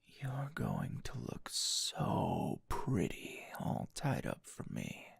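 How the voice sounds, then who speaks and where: A man's voice: deep voice, huge pitch swings, drawn-out words, gravelly, breathy edge, no echo, heavily acted. A man playing a teasing, dominant, seasoned anime hero as a tender lover in an intimate ASMR roleplay, murmuring breathily and slipping into whispers. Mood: teasing, commanding.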